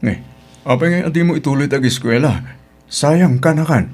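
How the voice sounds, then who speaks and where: A man's voice: a little high, moderate pace, darkish tone, soft background music, lightly acted. A man acting a helpful middle-aged uncle and restaurant boss in an audio drama, with an Ilocano Filipino accent and a matter-of-fact, advising manner.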